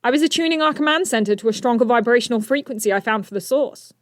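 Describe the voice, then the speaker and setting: An excitable teen science whiz played by a woman in an audio drama, with a high, very animated voice. The delivery is geeky, eager and expressive, recorded close and dry. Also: fast talker, dry recording, natural voice. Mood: curious, chatty. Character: eager, brainy teenage inventor hero.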